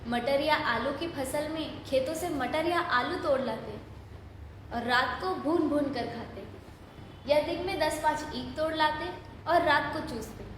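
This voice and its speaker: A woman's voice: high voice, a little slow. A woman narrating a scripted story for an audio play. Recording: echoey hall, long reverb, faint noise.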